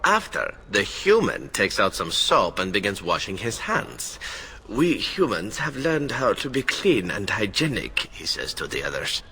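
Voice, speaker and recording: Casting: playful rogue adventurer, fantasy game. Bright, hugely animated, theatrical storytelling voice. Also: male voice, on the low side, measured pace, a little hiss or hum, heavily acted. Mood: sly amusement. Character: playful, roguish male adventurer telling tales. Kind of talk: fantasy video game voice acting.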